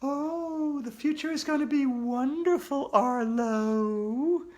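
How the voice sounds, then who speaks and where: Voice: high voice, takes it slowly, darkish tone, voice pushed up. A reader voicing the spooky, cackling ghost of a young girl in a storybook read-aloud, drawing out her taunting words.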